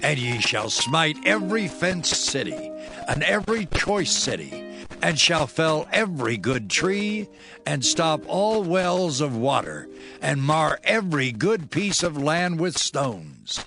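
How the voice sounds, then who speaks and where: An actor playing a stern prophet, declaiming solemnly in a dramatized scripture production. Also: male voice, fairly high pitch, big pitch swings, over music, exaggerated voice. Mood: solemn, prophetic. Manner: solemn, declamatory, drawn-out phrasing. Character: stern male prophet delivering divine commands.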